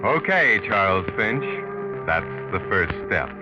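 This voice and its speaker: An actor playing a sinister, sardonic mystery narrator, speaking deliberately and tauntingly in 1940s radio style. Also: male voice, lowish voice, sing-song, over loud music. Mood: ominous, taunting. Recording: muffled vintage radio recording.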